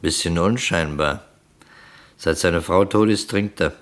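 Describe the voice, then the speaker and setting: A persistent police detective in a crime radio play. His voice sits low, and he questions in a dry, sceptical, conversational manner. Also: measured pace. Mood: wry, puzzled. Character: male police detective, matter-of-fact, persistent, dryly sceptical.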